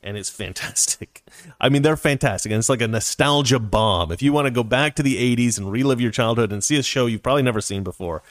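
A man's voice: mid-range voice, quick pace, no echo, studio quality. A man in his forties hosting a casual livestream, reading viewer comments aloud and talking in a rambling way with many restarts. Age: 40s. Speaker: livestream host reading chat.

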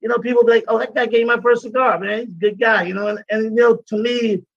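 A man's voice: very high-pitched, steady tone, fast, vocal fry, no echo, dull audio. A man, talking casually as an interview guest about his cigar business, rambling with many "you know"s. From Guyana.

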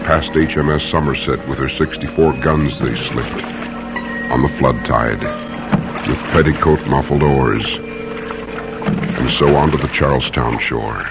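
A man narrating an old-time radio drama in a dramatic, deliberate, formal manner. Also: rumbling low, over loud music, rough recording.

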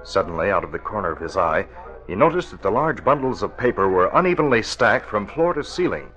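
A man narrating a 1940s radio drama as its announcer, in a dramatic, measured scripted delivery. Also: on the low side, very animated, fairly bright tone, soft background music, poor audio.

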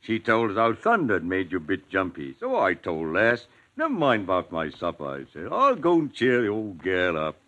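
An actor playing a sly, jovial gardener in a 1940s radio drama, folksy and joking. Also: male voice, low-pitched, huge pitch swings, moderate pace, hardly any pauses, sharp tone, highs cut off, exaggerated voice. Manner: folksy, joking dialect speech. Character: sly rustic male gardener, jovial yet menacing.

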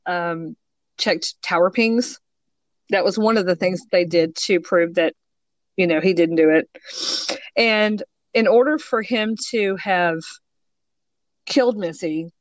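A woman's voice: medium pitch, no room sound. Slightly scratchy-voiced woman podcaster. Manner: rambling, many ums and you-knows. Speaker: podcast co-host. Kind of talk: casual true crime discussion.

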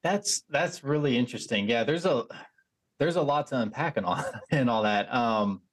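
A man's voice: mid-range voice, normal speed. Reflective male podcast host. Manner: thoughtful, reflective, many restarts and "you know"s. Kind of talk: casual interview chat.

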